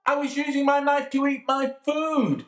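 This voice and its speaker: An actor playing a sly, self-pitying jailed man who swings between hushed confiding and sudden outbursts. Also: very high-pitched, level tone, normal speed. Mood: indignant. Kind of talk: dramatic monologue to a visitor. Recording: echoey room.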